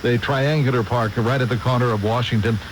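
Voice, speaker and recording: A man's voice: mid-range voice, steady tone, a little fast. A man hosting a radio show, chatting casually in a rambling way on a noisy radio recording. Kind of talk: casual on-air chat. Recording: noisy, hissy radio aircheck.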